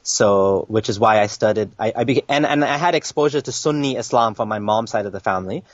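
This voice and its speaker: A man's voice: fairly low pitch, a little fast, no room sound. A young man from Kenya, a guest in an interview, telling his story in casual talk with many restarts and fillers. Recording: dry, dull lo-fi sound.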